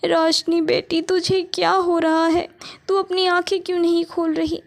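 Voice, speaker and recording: A woman's voice: high-pitched, steady tone, moderate pace. A woman voicing a harsh, scolding mother in a spoken storybook. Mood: panicked. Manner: sharp, scolding, later pleading. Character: mean middle-aged mother, harsh and scolding.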